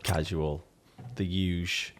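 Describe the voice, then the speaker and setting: A man's voice: low-pitched, little pitch movement, very slow, slight background noise. A man, co-hosting a casual podcast, rambling and hesitant. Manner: rambling, hesitant, lots of fillers and laughs. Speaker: podcast co-host, musician. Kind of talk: casual chat.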